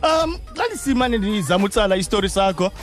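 Playful high-voiced man hosting radio. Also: animated, fast, faint music behind. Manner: playful, teasing, mixes English and Xhosa. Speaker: radio host. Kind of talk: casual radio interview. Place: radio studio.

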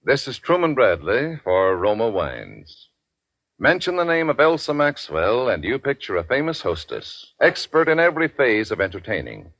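Animated male announcer, dry vintage radio. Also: medium pitch, no echo, faint music behind. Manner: polished, deliberate emphasis. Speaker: commercial announcer. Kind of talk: scripted 1940s radio advertisement. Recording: dull, dry 1940s radio recording.

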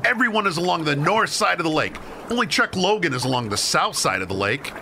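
Radio play role: actor as exasperated angler, high male voice, lively swings, bickering testily. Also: a little fast, vocal fry, background noise. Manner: testy, impatient. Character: exasperated, impatient fisherman bickering with his partner.

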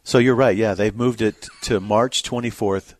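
A man's voice: on the low side, steady tone, moderate pace, no echo. A man co-hosting a radio show, chatting casually about movie news. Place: radio studio. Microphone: close studio microphone.

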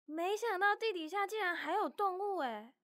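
A woman's voice: extremely high pitch, animated, normal speed, fairly bright tone, dry recording, studio quality. A woman voicing a small, naive young mouse with a childlike, innocent and emotional way of talking in a children's audio story. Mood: puzzled.

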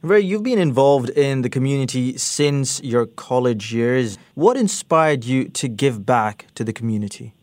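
A man hosting a radio interview, asking questions in a smooth, measured way. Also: mid-range voice, lively intonation, a little mellow, no echo. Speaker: radio host.

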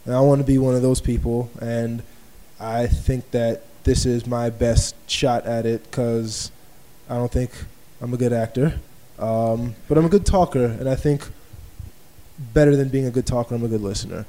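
A man from Baltimore hosts a podcast recorded live in an art space. He sounds easygoing and unhurried, with a mellow, warm tone. His pitch sits in the middle of the male range. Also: steady tone, poor audio. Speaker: podcast host.

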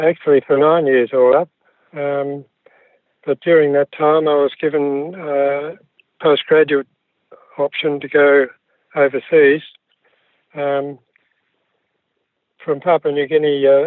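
A man's voice: a little high, no echo. Older Australian man on a tinny phone line. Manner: hesitant, many ums and restarts. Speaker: guest. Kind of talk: relaxed recollection of his life.

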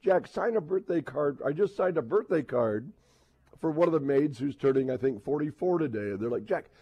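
A man's voice: a little high, expressive, fairly quick, breathy edge, lo-fi sound. A man with an American accent hosting casual sports radio banter, rambling chattily and acting out little quoted exchanges.

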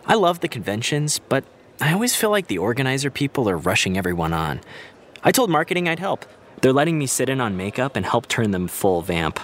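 A man acts out a friendly young television star in an audio drama. His medium-pitched voice moves around a lot. He comes across as eager, earnest and upbeat. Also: sing-song, a little fast, slight background noise, lightly acted. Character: eager, friendly male actor starring in vampire show. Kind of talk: naturalistic audio drama acting.

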